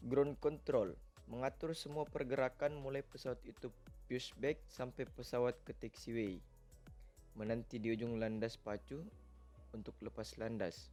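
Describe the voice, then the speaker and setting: A man narrates an educational explainer from a script. His voice sits at a medium pitch and his delivery is formal. Faint music plays behind him. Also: steady tone, moderate pace, darkish tone.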